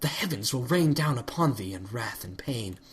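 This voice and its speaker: A man's voice: medium pitch, lively intonation, moderate pace, lightly acted. A man voicing a regal, furious elder winged pony princess who speaks in archaic, commanding formal speech. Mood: imperious.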